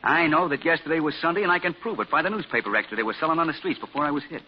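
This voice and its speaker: An actor playing a dazed, tough older man with rough, slangy street talk in a 1940s radio drama, on a muffled old broadcast recording. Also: on the high side, very fast, a little hiss or hum, natural voice. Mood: scoffing.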